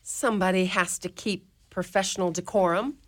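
A woman's voice: medium pitch, fairly even intonation, normal speed, a bit bright, close to an everyday voice. A woman playing a mother superior of a convent orphanage in a community radio play, wry and motherly with a lilting way of talking.

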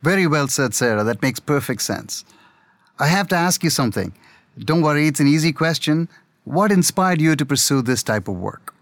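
A man's voice: on the high side, lively intonation, moderate pace, fairly bright tone, dry recording, clean studio sound. A man hosting an interview, asking questions in a friendly, appreciative way. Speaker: interviewer, host.

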